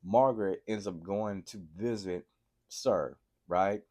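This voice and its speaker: Low-voiced man recapping TV, sore throat. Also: slow pace. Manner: excitable, keeps asking "right?" to viewers. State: congested from a cold, sore throat. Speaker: TV episode reviewer. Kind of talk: casual TV recap vlog.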